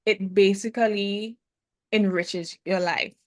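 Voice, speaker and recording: A woman's voice: medium pitch, fairly even intonation, dry recording. Woman guest, medium voice, thoughtful and hesitant, dry recording. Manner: thoughtful, hesitant, many "um" pauses. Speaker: business consultant, interview guest. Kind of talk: casual conversational interview.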